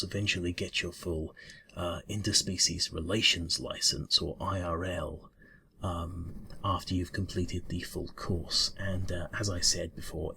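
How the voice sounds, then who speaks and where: Casting: male actor as a polite, flustered bureau-cat interviewer for ASMR roleplay. Deep yet bright-edged voice, halting officious mumble. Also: vocal fry, slight background noise, full character voice. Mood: fussy, hesitant. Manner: hesitant, mumbling, halting, formal.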